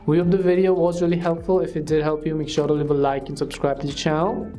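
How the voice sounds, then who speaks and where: A man's voice: a little high, quick pace, soft background music. Man narrating a step-by-step app tutorial. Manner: steady step-by-step instructions with filler phrases. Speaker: tutorial host. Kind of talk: scripted screen-recording tutorial.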